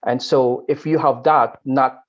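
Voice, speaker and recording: A man's voice: medium pitch, steady tone. Filipino academic guy, aklanon, midrange voice, keeps repeating words, kinda muffled lo-fi sound. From Philippines. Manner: halting, with frequent word repeats. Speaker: academic panelist. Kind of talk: academic roundtable talk.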